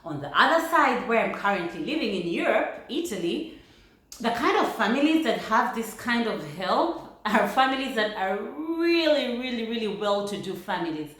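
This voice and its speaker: A woman from Uganda with a Ugandan accent, talking through points in a casual vlog in a measured, thoughtful way. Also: fairly high pitch, big pitch swings, a bit bright. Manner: thoughtful, measured, laughs lightly between points. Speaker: vlogger. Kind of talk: casual vlog talk to camera. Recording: very echoey room.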